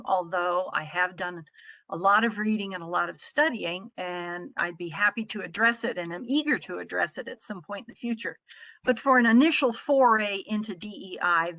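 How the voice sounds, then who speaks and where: A middle-aged American woman from the Midwest giving an explanatory presentation as a trainer, speaking in a measured, articulate way. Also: medium pitch, pushed and bright, no echo. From Midwestern United States. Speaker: trainer presenting on a conference call.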